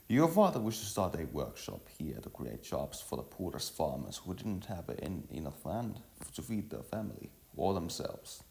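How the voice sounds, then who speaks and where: A man playing an earnest young village workman in a storybook, formal, deliberate and halting. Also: low voice, gravelly, a little mellow, heavily acted.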